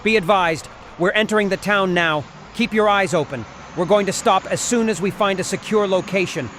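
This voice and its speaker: A man playing a gruff, wary army sergeant who gives curt, commanding orders in an audio comic. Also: high voice, normal speed, fairly bright tone, slight background noise. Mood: alert, curt.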